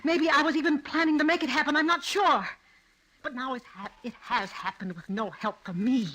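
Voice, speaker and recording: A bright, forceful, fairly high voice from an old radio play: a cold, scheming wife. She speaks with theatrical composure and deliberate, calculating menace. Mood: coldly determined. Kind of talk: old-time radio drama acting.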